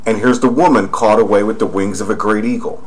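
A man's voice: on the low side, fairly even intonation, a little fast. A man narrating over screen images, rambling and pointing out details as he goes. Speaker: video narrator.

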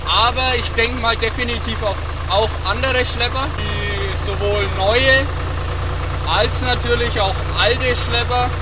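A young man with a Franconian German accent and a very high voice, talking casually while driving. He is relaxed and halting, leaning on fillers. He is recorded by a camera in the tractor cab, with a loud engine running underneath. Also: heavy background noise. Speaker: vlogger. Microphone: camera mounted in the cab.

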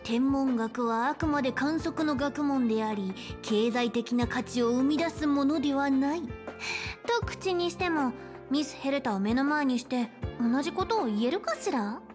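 A woman voicing a young, polite and caring space station chief in a Japanese game, speaking in a measured, articulate way. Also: sits high, expressive. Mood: confident, wry. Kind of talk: Japanese game voice acting, explanatory dialogue. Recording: game dialogue over background music.

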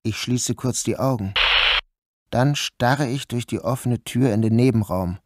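An actor playing a troubled grown son who narrates his own story in an introspective, measured literary style. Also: medium pitch, a little mellow, slight background noise, natural voice. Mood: brooding, tense. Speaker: first-person narrator and protagonist. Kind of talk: literary audio drama narration.

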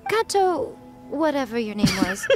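A woman voicing a haughty, teasing fox shrine maiden in a video game, smooth and condescending. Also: high voice, very animated, unhurried, darkish tone. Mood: dismissive. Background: game music.

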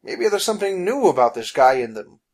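A narrator voicing a calm, nonchalant gentleman in a storybook, understated and faintly annoyed. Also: mid-range voice, brisk, voice pushed up. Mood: casual. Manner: unruffled, understated.

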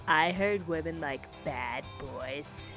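Bright whiny teenage boy, acted by a woman, slow-paced, boastful, rambling, emotional, cartoon dub. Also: low voice, soft background music. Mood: sheepish. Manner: rambling, whiny, over-dramatic. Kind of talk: amateur cartoon comic dub.

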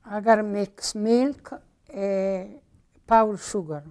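Slow-talking elderly Italian woman. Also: medium pitch, steady tone, darkish tone. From Puglia, Italy. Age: older, grandmother. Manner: halting, simple broken English. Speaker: grandmother cook on a cooking show. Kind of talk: casual cooking chat. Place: home-style kitchen, indoors.

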